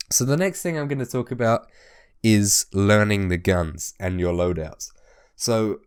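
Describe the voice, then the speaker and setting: Low, smooth-voiced man, a little hoarse. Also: darkish tone. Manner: chatty, repetitive, swears casually. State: hoarse, recovering from losing his voice. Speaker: gaming video host. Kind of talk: casual spoken game tips.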